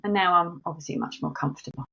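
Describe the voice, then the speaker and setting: A woman's voice: on the low side, quick pace, vocal fry, pushed and bright, breathy edge, muffled recording. A middle-aged woman with a South East London accent, telling stories in a friendly, chatty way as a podcast guest. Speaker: guest, marketing agency director. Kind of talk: casual interview chat.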